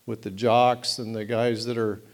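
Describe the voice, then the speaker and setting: A middle-aged man telling his life story at a recovery meeting, halting and full of fillers and restarts. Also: on the low side, little pitch movement. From United States. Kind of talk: personal testimony at a meeting.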